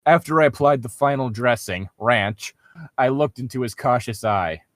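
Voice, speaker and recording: A man's voice: mid-range voice, normal speed, fairly bright tone, no echo, clean studio sound. A man reading fan fiction aloud for laughs, with jokey, profane banter. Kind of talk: mocking comedic read-aloud and banter.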